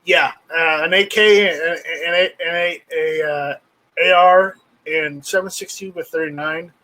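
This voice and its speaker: Higher-voiced man rambling haltingly on stream. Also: steady tone, bright, forceful tone, low-quality recording. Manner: halting, repeats words, rambling. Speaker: livestream host. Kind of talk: casual unscripted chat about gear.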